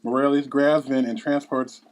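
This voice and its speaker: A man's voice: medium pitch, fairly even intonation, normal speed. A man narrating a script at a table read, reading out scene headings and action. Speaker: narrator reading stage directions.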